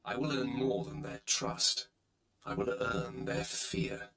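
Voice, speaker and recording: An actor playing a proud, menacing Sith warrior in a video game, haughty and curt, with a gravelly, forceful voice. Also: male voice, mid-range voice, very animated, slow pace, reverberant, full character voice. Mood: cold, threatening.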